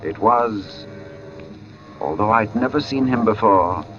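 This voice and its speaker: Haunted artist narrator for a vintage radio thriller: low, brooding, muffled old recording over prominent music. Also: male voice, expressive. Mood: wondering, tense. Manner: deliberate, brooding, dramatic pauses and repetitions. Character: haunted artist narrating his eerie walk in oppressive heat. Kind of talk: 1940s radio thriller acting, first-person narration.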